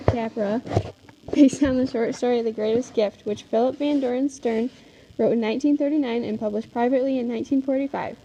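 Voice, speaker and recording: A woman reads scripted announcements as presenter of a 1940s-style radio show. Her voice is fairly high and mellow. The recording sounds dull, with faint noise behind it. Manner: reading aloud.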